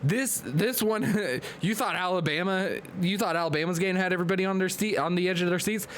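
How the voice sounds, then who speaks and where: Male stream host, high voice, excitable play-by-play caller, hoarse and congested. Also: a little hiss or hum. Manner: excitable, hyped play-by-play with quick asides to chat. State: losing his voice, nose clogged. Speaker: league commentator and stream host. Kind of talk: live-streamed play-by-play commentary.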